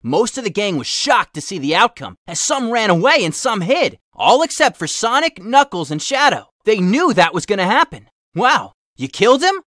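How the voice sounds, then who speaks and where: A man reading fan fiction aloud in an animated, dramatic, emphatic style with his own asides. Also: high voice, normal speed, few pauses, no echo, studio quality. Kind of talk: animated storybook-style reading in a cartoon hero character voice with asides.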